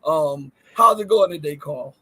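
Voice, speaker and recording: A man's voice: a little high, expressive, measured pace, pushed and bright, breathy edge, poor audio. A man hosting an interview show by video call, introducing his guest and asking questions in an earnest, wordy way. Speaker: podcast host. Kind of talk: interview host.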